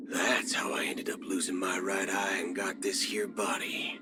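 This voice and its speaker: An actor playing a cocky, folksy cyborg cowboy outlaw in a video game, with a Southern American drawl. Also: male voice, low voice, little pitch movement, a little slow, heavy background noise. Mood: wry, folksy. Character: swaggering cyborg cowboy gunslinger outlaw, adult male. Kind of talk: video game character voice lines. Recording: music under the voice. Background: background music.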